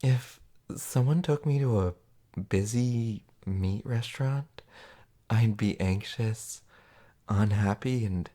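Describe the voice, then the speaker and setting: A woman voicing a tender, reassuring partner in a comfort roleplay, speaking softly and breathily with gentle pauses, often near a whisper. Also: a bass voice, exaggerated voice. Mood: gentle, understanding. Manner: gentle, soothing, unhurried, with pauses. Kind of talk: soft-spoken comfort roleplay audio, ASMR.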